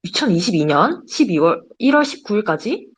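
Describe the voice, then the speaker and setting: A woman's voice: medium pitch, very animated, a little fast, breathy edge. A young woman in her twenties giving a casual, chatty slide presentation to a study group. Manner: chatty, giggles between points.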